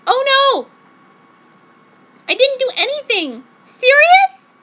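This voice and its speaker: A woman voicing an overwrought teenage heroine in a comedic fan-fiction reading, shrill and gushing. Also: very animated, slow, sharp tone, full character voice. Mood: panicked protest.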